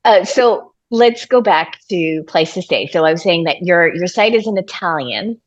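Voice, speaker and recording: A woman's voice: fairly low pitch, lively intonation, moderate pace. A middle-aged woman hosting a casual interview over a dull-sounding video call, chatty and encouraging. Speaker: interviewer, host. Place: bright room at home, on a video call.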